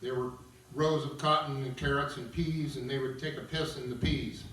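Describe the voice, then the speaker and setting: A man reading stage directions as narrator at an opera table read, with a theatrical, deliberate storytelling delivery. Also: mid-range voice, steady tone, a bit bright, far from the mic, a little hiss or hum. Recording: roomy echoing recording from afar, guitar music.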